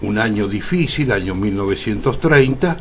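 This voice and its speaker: An Argentine man, an actor being interviewed on radio, speaking reflectively in deliberate phrases broken by pauses. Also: on the low side, animated, soft background music. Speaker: interview guest, stage actor.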